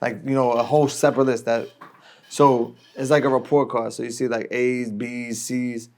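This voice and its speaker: A man's voice: mid-range voice, level tone, normal speed, a little mellow. An American man who is a radio DJ guest, talking casually and explaining in slangy, conversational speech.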